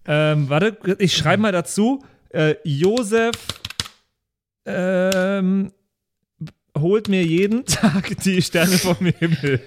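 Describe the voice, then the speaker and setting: A man's voice: sits high, slow. A man who is a podcast player, chatting casually in a joking promotional bit. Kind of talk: casual comic promo chat.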